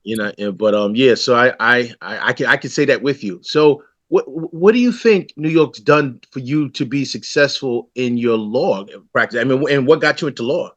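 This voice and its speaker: A man's voice: mid-range voice, normal speed, few pauses, fairly bright tone, no room sound, muffled recording. A man from the Bronx who publishes local newspapers, hosting a community interview with friendly, loose, rambling questions. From the Bronx, New York City.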